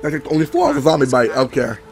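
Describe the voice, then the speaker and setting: A man with a sore throat from being sick, giving excitable, rambling let's-play commentary. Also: medium pitch, racing, vocal fry, soft background music. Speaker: gameplay commentator.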